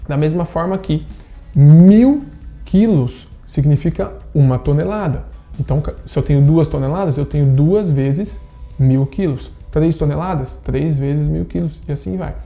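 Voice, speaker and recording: A man in his thirties with a Brazilian Portuguese accent, teaching a science lesson online and explaining step by step, often checking in with quick tag questions. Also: on the high side, gravelly, warm tone, soft background music. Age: 30s. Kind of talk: online class lecture.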